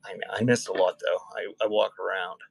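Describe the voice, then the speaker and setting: A man's voice: low-pitched, fairly even intonation, a little fast, gravelly, slightly rough, soft background music. A man hosting a casual live sale, rambling conversationally between calling out items and chatting with viewers. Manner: conversational, rambling between item call-outs and chat. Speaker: live auction seller and host. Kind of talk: casual livestream selling chat.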